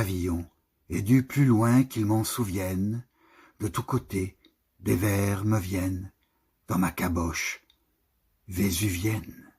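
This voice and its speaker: A man reciting poetry in deliberate, measured short phrases with pauses between them. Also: on the low side, husky. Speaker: poetry reader.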